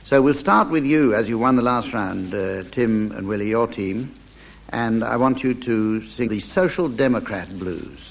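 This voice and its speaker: A man's voice: medium pitch, animated, a little slow, creaky. Man chairing an old radio panel game. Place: theatre with live audience. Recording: dull 1980s radio recording, noisy audience hall. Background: audience and background noise.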